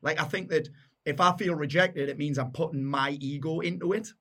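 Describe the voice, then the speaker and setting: A man with a Geordie accent and a deep, loud voice, chatting bluntly and matily as a podcast guest and swearing freely. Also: brisk. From North East England. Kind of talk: casual chat.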